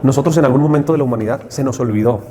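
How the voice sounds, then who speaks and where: A man's voice: mid-range voice, rapid-fire, warm tone. A man with a Mexican accent in a conversational interview, explaining motivationally and emphatically with repeated rhetorical questions and lists. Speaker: interview guest. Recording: clear crowd chatter behind.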